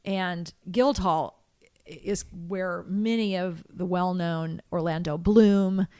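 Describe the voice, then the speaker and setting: Picture a middle-aged American woman recalling her years of music training in a relaxed chat. Her speaking voice sits a little low for a woman, and it comes across dry and close. She talks in a reflective way, with many restarts and fillers. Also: slow pace, clean tone, no room sound. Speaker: interview guest, soprano singer. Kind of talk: relaxed conversational interview.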